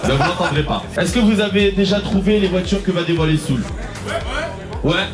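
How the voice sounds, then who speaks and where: A man's voice: high-pitched, fairly quick, crowd noise. A man hosting at a car event, playfully quizzing and teasing people. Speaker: event host. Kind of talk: quizzing the crowd. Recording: echoey with music.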